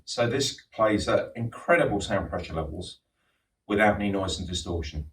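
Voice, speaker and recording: Middle-aged man, low voice, enthusiastic showroom demo, distant echoey mic. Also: slight background noise. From England. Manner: enthusiastic, explanatory, with frequent restarts and ums. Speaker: home cinema designer and dealer. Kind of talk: showroom product walkthrough. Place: large showroom. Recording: roomy echo, distant mic.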